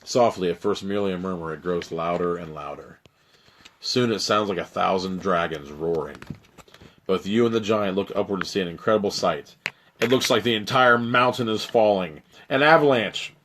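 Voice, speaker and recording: A man with a raspy, strained voice, hosting a live stream where he reads an adventure story aloud and chats with viewers. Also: low voice, moderate pace, a bit bright, slight background noise. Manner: dramatic narration, then loose rambling chat. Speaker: host reading a choose-your-own-adventure book.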